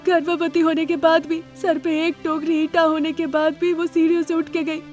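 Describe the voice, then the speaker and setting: A woman's voice: extremely high pitch, little pitch movement, normal speed, darkish tone, faint music behind, pitched above the performer's own voice. A woman voicing an earnest, grateful mother in a moral story, speaking emphatically. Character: grateful mother of rescued boy, earnest wealthy wife.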